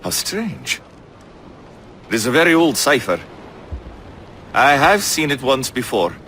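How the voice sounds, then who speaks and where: Bright-voiced, courteous gentleman scholar. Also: medium pitch, big pitch swings, unhurried, a little hiss or hum. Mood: courteous, intrigued. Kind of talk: period game cutscene acting.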